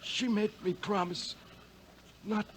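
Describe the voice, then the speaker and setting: A man acting a dazed, greedy treasure hunter in a 1950s radio drama, groggy, halting and desperate. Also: high-pitched, fairly even intonation, a bit bright, breathy edge, heavily acted. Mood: dazed confessing. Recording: noisy vintage 1950s radio broadcast.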